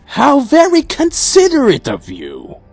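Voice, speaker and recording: A man playing a brooding, defiant vampire clan leader in a fantasy audio drama, speaking with slow grandeur. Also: very high-pitched, huge pitch swings, heavily acted. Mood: sardonic. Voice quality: gravelly. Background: music.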